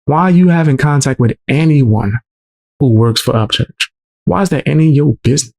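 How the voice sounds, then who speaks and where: Man, low voice, gravelly, loose mocking livestream host, headset mic. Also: brisk, a little mellow. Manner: loose, mocking, talks back to the clip. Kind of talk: live reaction stream, reading chat. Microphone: headset mic with voice effects.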